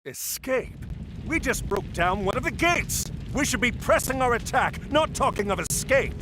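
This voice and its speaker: A man's voice: very high-pitched, expressive, normal speed, background noise. A man playing a bold, decisive ancient admiral in a game cutscene, with a commanding, earnest manner. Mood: indignant. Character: bold, decisive heroic male admiral of Atlantis. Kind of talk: video game cutscene acting.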